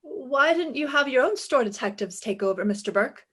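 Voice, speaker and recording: A woman's voice: high-pitched, lively intonation, normal speed, a bit bright, husky, exaggerated voice. An actress playing a witty, flirtatious, self-assured female private eye in a 1950s-style radio detective play, with breezy, wisecracking delivery. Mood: brisk, playful. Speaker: lead actress and first-person narrator.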